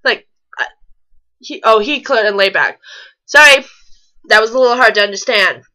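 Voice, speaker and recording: Female amateur reader, high and very bright voice, informal narration with casual asides. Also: moderate pace. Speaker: reader and co-commentator of fan fiction. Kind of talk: storybook-style reading mixed with casual mocking commentary.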